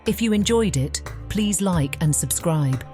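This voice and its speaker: A woman's voice: fairly low pitch, lively intonation, unhurried, warm tone, soft background music. A woman reading scripted storybook narration as an audiobook narrator.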